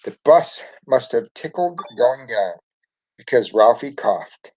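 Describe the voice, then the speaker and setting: A man reads a children's storybook aloud with a very animated delivery. His pitch sits in the middle and moves widely through the narration. The recording sounds dull and lo-fi. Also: takes it slowly. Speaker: storybook reader.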